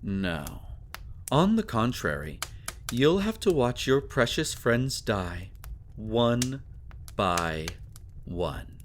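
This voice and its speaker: Slow, sadistic, suave vampire lord. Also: male voice, mid-range voice, sing-song, a little hiss or hum. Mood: cold menace. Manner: theatrical, taunting, silky condescension with drawn-out phrasing. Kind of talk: scripted dramatic roleplay monologue.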